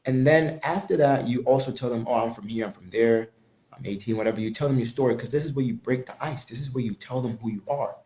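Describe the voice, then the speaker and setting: Man, marketing coach type, talks loose and mellow, plenty of restarts, roomy echo on the track. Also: medium pitch, fast. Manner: loose and conversational, frequent restarts and fillers. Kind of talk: casual tutorial talk. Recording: echoey room.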